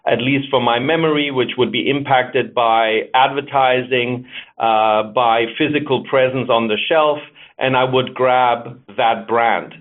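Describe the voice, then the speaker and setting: A middle-aged man with a German accent, a marketing executive and author, giving measured, explanatory interview answers and thinking aloud as he goes. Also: medium pitch, fairly even intonation. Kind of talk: interview answers over the phone. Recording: narrow tinny phone line.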